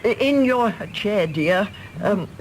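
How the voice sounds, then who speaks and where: Slow, fussing older mother. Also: medium pitch, animated, a little hiss or hum, rough recording. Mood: solicitous. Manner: fussing, gently coaxing, hesitant. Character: anxious, solicitous older mother and hostess. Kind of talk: radio play acting.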